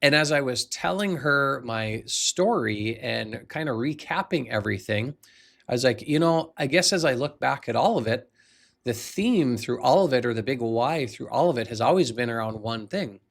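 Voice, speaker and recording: An American man in his forties with a noticeably creaky, gravelly voice at a medium pitch. He speaks as a coach sharing a personal story, earnest and conversational, leaning on key words for emphasis. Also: expressive, normal speed, a bit bright. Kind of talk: motivational personal storytelling to camera.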